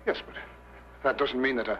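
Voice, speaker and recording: An actor playing a nervous, jealous male suspect in a vintage detective drama, defensive and halting in his speech. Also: mid-range voice, fairly even intonation, a little hiss or hum, close to an everyday voice. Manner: halting, stammering. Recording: dull old broadcast recording.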